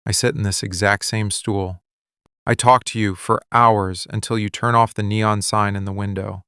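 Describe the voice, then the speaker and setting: A man playing a possessive, wounded mafia boss in a boyfriend ASMR roleplay, speaking in deliberate, clipped, interrogating phrases. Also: fairly low pitch, flat delivery, a bit bright, clear, resonant tone, dry recording, studio quality. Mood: intense, accusing.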